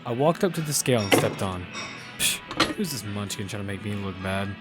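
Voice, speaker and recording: A man's voice: lowish voice, animated, fairly quick, loud music behind the voice, lightly acted. A man playing a casual, confident young gamer who narrates his story in the first person and teases his friends. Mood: teasing. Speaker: narrator and lead character. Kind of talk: first-person audio fiction narration and dialogue.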